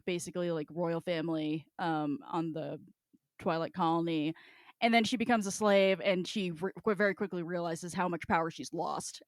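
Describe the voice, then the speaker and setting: A woman's voice: fairly low pitch, no echo. A woman co-hosting a podcast, talking casually and animatedly, swearing freely. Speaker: podcast co-host. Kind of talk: casual chat, book discussion.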